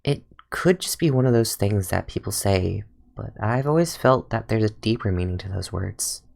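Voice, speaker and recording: Fan game dub: a young man as a calm, sardonic fox-eared ranger scholar with a gravelly, fry-laden tone. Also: on the low side, normal speed. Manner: dry, sardonic, with soft musing asides. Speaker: fan voice actor. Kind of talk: fan redub of game voice lines.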